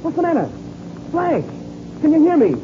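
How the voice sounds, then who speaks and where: An actor playing an excitable, urgent airplane pilot in a 1930s radio adventure serial. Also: male voice, very high voice, normal speed. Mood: alarmed. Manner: urgent, exclamatory. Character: excitable adult male airplane pilot, alarmed, loyal. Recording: muffled, noisy old radio recording.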